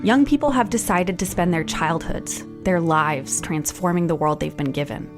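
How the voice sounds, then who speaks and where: A woman co-hosting and narrating a documentary series trailer in a steady, earnest scripted read. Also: lowish voice, normal speed, over music. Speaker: co-host and narrator.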